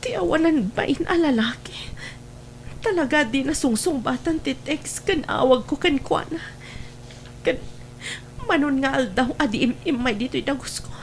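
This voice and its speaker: A desperate mistress, played by an actress in an audio drama, with a high-pitched voice and a northern Philippine accent. She is melodramatic and demanding, clingy yet threatening. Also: animated, normal speed, a little hiss or hum. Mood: bitter, scheming.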